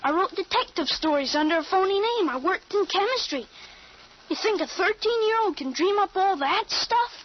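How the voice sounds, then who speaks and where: A woman's voice: very high-pitched, expressive, moderate pace. A woman playing a precise thirteen-year-old American boy with a grown-up mind in an old radio drama, speaking in an articulate, matter-of-fact way. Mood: confident, explaining. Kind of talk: 1940s-50s radio drama acting. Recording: muffled, noisy old radio recording.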